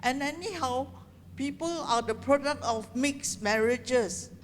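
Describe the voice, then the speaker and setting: Slow-spoken woman answering in a hall. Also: sits high, a little hiss or hum. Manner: measured, thinking aloud with pauses. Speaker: seminar speaker answering questions. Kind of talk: Q&A answers at a seminar. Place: seminar room in a library. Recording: reverberant seminar hall.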